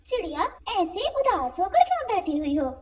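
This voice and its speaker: Meddling female crow for a cartoon, gossipy, loud, very high voice female performer. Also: very animated, moderate pace. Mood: nosy, gossipy. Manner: loud, nosy, insinuating.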